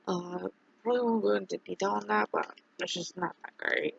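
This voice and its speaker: Gravelly, husky voice, halting streamer chat. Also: medium pitch, steady tone, highs cut off. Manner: rambling, halting, many fillers and restarts. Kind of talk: casual livestream chat.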